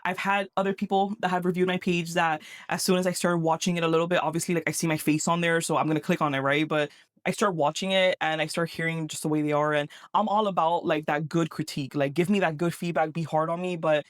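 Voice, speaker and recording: A woman's voice: low-pitched, steady tone, no echo, clean studio sound. A woman from Miami with a Miami accent answers viewer questions in an upbeat, reassuring vlog. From Miami, Florida. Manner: upbeat, reassuring, rapid talk. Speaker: vlogger. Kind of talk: talking-head vlog.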